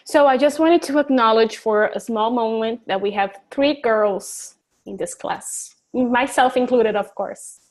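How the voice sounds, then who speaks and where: A woman's voice: on the high side, unhurried, a little mellow. A woman teaching an online English class, chatty and encouraging, laughing easily and joking about herself.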